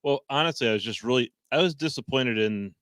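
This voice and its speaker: A man's voice: medium pitch, fairly quick, fairly bright tone, no room sound. A man on a sports talk panel in a mid-range voice. He eases into his points with fillers and restarts. The recording is dry. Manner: hesitant, with lots of fillers. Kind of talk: casual sports talk show.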